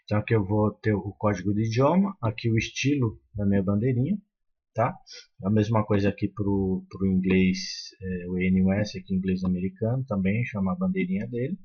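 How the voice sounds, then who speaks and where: A man's voice: on the low side, a little fast, breathy edge. A man explaining code step by step in a screen-recorded tutorial, with many fillers and restarts. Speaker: programming tutor. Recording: muffled lo-fi sound.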